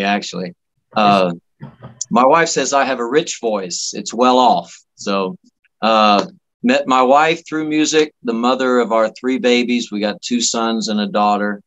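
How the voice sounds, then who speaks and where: A man from Miami, Florida, a guest musician telling casual anecdotes in a halting, folksy, jokey way. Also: lowish voice, a bit bright, low-quality recording. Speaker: guest musician, singer-songwriter.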